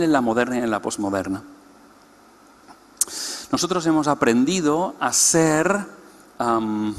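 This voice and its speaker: A man giving an explanatory teaching talk to a church congress, putting questions to the audience. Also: mid-range voice. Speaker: conference speaker. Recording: long hall reverb.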